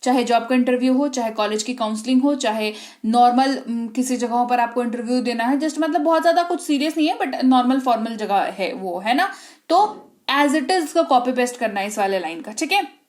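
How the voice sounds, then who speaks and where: Young Indian woman teacher, fairly high voice, deliberate and emphatic. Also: animated, darkish tone. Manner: slow, emphatic, checks understanding often. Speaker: English teacher. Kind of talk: spoken English lesson.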